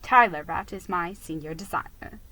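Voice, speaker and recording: A woman narrating a children's story as an expressive, theatrical dramatic reading. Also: fairly low pitch, normal speed.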